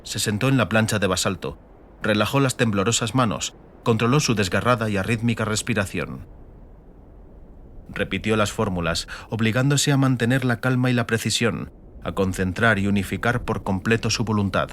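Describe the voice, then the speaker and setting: A man with a fairly low voice narrates a fantasy audiobook. His delivery is scripted and dramatic, with a slight rumble of noise underneath. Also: normal speed, fairly bright tone.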